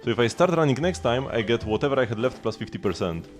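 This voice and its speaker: A man's voice: mid-range voice, expressive, fast talker. A man giving casual, offhand live commentary as a game streamer, thinking aloud as he plays and chats with viewers. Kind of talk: casual gaming livestream commentary, chatting with viewers. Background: music.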